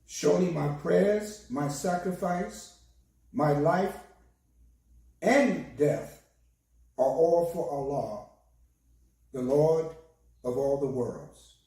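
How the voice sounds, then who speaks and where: A hoarse man, a minister, giving a slow and solemn sermon with a recited prayer. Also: fairly high pitch, dark tone. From United States. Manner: slow, measured, solemn delivery. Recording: very echoey, distant from the mic.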